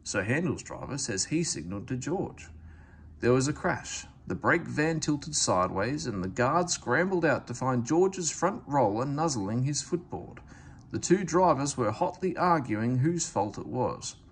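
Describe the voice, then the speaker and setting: Male storybook narrator, animated intonation, middle-pitched, home recording with faint noise. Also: measured pace. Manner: expressive. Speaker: storybook reader. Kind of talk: read-aloud children's story narration. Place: indoors at home, book on camera.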